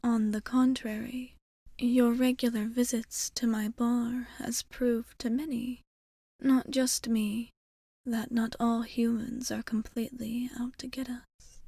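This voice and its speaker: Softly whispering, slow-spoken vampire woman, acted out. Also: fairly high pitch, flat delivery, dry recording, clean studio sound. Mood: earnest, hesitant. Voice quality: soft, breathy whisper. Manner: slow, measured, formal phrasing. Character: calm, ancient, lonely female vampire bartender, tenderly formal. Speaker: ASMR roleplay performer. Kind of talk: whispered ASMR roleplay.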